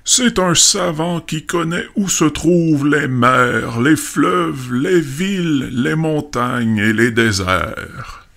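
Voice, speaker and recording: A man voicing a self-important old scholar in a storybook dramatization, deliberate, pompous and pedantic. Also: fairly high pitch, gravelly, fairly bright tone, full character voice. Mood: pompous, curious.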